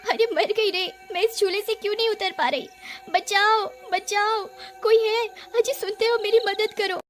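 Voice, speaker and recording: A woman voicing an expressive, playful young village wife with a pleading manner in an animated horror story. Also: very high voice, unhurried, over music, pitched above the performer's own voice. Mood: panicked.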